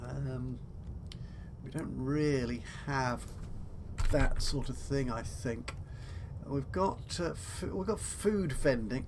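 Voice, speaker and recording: A man's voice: mid-range voice, takes it slowly, background noise. A man giving casual let's play commentary, chatty and thinking aloud as he goes. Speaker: gaming video host.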